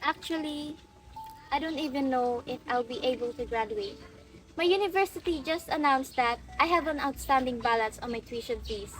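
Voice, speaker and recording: A woman acts out a young female student with a very high voice and a Filipino accent. She is earnest and weary, pleading her case in a radio play over faint music. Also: normal speed, fairly bright tone, rough recording. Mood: dejected, pleading. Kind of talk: amateur English radio drama acting.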